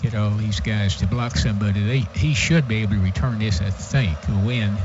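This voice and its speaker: A man's voice: lowish voice, a little fast. A man giving casual, folksy color commentary on a live football radio broadcast. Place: outdoor stadium radio booth. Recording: muffled radio feed, loud stadium noise.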